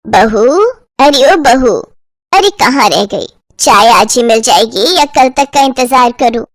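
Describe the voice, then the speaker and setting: A woman's voice: a little high, expressive, takes it slowly, no room sound, studio quality. Woman doing a bossy sarcastic mother in law nagging in a cartoon story, music behind. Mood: impatient. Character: demanding, impatient middle-aged mother-in-law. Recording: background music under the voice.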